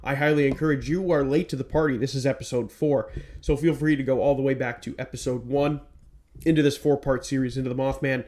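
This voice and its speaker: A man's voice: mid-range voice, steady tone, fast talker, darkish tone. A man hosting his own podcast, sounding stuffed up from a cold, moving from scripted narration into rambling talk full of ums. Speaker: podcast host. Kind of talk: scripted narration then casual chat.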